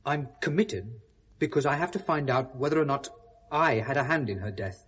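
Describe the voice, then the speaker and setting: A man's voice: mid-range voice, expressive, normal speed, a bit bright, slightly rough. An actor playing a troubled, earnest and guilt-ridden educated British man in a 1970s radio drama. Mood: brooding, subdued.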